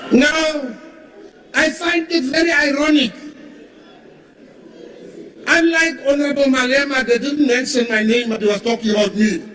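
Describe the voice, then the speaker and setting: A man's voice: very high voice, a bit bright. A man, a cabinet minister, giving an emphatic, mocking parliamentary speech. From South Africa. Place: large parliament chamber. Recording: echoey hall from far off.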